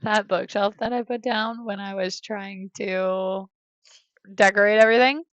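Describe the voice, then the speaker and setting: A woman's voice: medium pitch, fairly even intonation, a little slow, dry recording, lo-fi sound. An American woman in her thirties, chatting casually while she streams a life-sim game from home, rambling with many fillers. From United States. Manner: rambling, hesitant, many fillers, talks to her kids between points. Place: home room.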